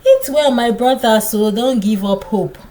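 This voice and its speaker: A woman storyteller voicing a kind, gentle young market woman who coaxes and encourages in a folktale. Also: on the high side, measured pace, natural voice. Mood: kindly, encouraging. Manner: warm greetings, coaxing encouragement.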